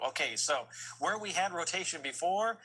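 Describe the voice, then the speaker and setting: A man's voice: a little high, lively intonation, moderate pace, pushed and bright, husky. An American man working as a TV meteorologist, giving live unscripted severe weather commentary and explaining as he thinks aloud.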